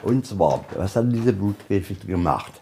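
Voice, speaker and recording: A man with a husky voice giving an informal, explanatory guided tour as a museum guide. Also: sits low, very animated, unhurried, mellow, a little hiss or hum. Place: indoors in a museum.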